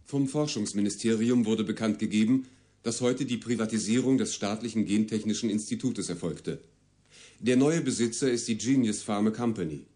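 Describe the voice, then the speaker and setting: A man's voice: on the low side, moderate pace, mellow, lightly acted. A man reading scripted radio news in a formal, neutral, precise manner, as part of a radio drama. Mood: neutral, official. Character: formal male radio newsreader. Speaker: news reader and announcer.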